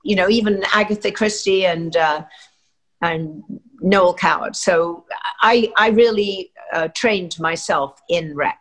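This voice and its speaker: A woman's voice: on the low side, slow, a bit bright. Older woman actress, chatty and rambling. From England. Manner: chatty, rambling with many fillers and restarts. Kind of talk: interview answers.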